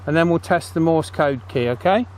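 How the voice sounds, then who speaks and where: Higher-voiced man chatting outdoors. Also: brisk. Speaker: host. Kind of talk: casual outdoor video commentary and walkie-talkie testing. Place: outdoors on a path. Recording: outdoor background noise, faint.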